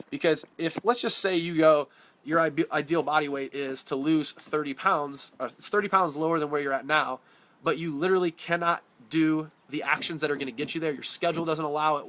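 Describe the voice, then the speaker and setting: A man's voice: a little high, sharp tone. A man coaching on fitness in a casual live-stream Q&A, talking directly and bluntly, sounding congested from a cold. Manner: direct, blunt, answers viewer questions by name. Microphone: phone or webcam on a live stream.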